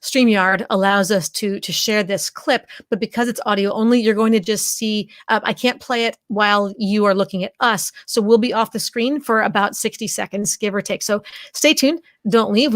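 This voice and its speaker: A woman's voice: mid-range voice, dry recording, studio quality. A woman hosting a live stream, talking casually and informally to viewers. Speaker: live show host. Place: indoors, live streaming video call.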